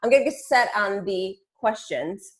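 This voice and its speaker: A woman with a mid-pitched voice chats casually as she hosts a team call. She talks like a fitness coach among friends, informal and slangy. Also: lively intonation, normal speed, fairly bright tone. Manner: chatty, informal, peppered with slang and swearing. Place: online group call.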